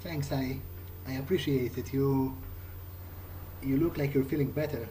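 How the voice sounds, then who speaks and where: A man's voice: mid-range voice, a little slow, slight background noise, rough recording. A man playing a game in character, thinking aloud in a hesitant, musing way. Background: loud music.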